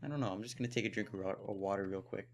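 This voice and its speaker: A young man giving casual gameplay commentary, thinking aloud with fillers, his voice a little hoarse. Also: fairly low pitch, very fast. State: slightly hoarse. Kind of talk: casual let's play commentary.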